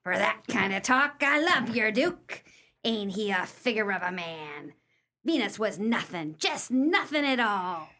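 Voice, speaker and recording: An actress playing a coquettish, brassy aging barmaid among pirates in a comic radio play, with broad flirtatious dialect. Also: female voice, medium pitch, expressive, measured pace, sharp tone.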